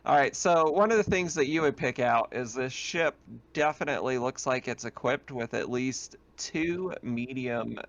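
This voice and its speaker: Man, game master, conversational narration, improvised descriptions, mid-pitched voice. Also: moderate pace, hardly any pauses, no echo. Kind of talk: narrating a tabletop roleplaying game.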